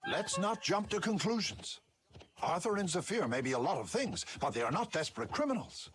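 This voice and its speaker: A man playing a dignified, kindly father and ruler in a children's cartoon, speaking earnestly in measured, formal phrases. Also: sits high, very animated, a bit bright, breathy edge, full character voice. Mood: reassuring, composed. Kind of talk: animated series voice acting. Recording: music under the voice.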